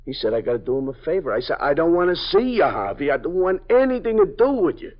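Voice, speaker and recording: A man's voice: on the high side, big pitch swings, fast talker. An actor playing a nervous male parolee informant who stammers and pleads, in 1950s radio drama style. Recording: dull old radio broadcast.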